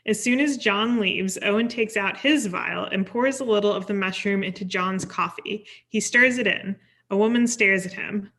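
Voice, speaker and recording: A woman's voice: mid-range voice, moderate pace, hardly any pauses, a bit bright. A woman reading scripted screenplay stage directions at a table read.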